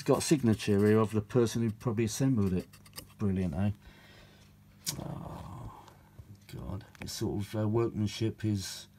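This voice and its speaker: A British man with a fairly low, very mellow and relaxed voice talks off the cuff in a casual, rambling way. He sounds world-weary and grumbles as he goes, with the odd mild swear word. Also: slight background noise. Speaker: vintage audio repair hobbyist presenting his own videos. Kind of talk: casual rambling workbench chat.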